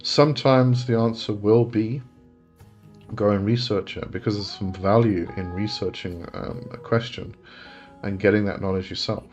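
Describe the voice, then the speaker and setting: A man's voice: fairly low pitch, steady tone, normal speed, darkish tone, highs cut off, faint music behind. A man in his forties with an English accent, hosting a casual live chat and answering viewers' questions, rambling and correcting himself as he goes. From England. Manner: rambling, self-correcting, chuckles often.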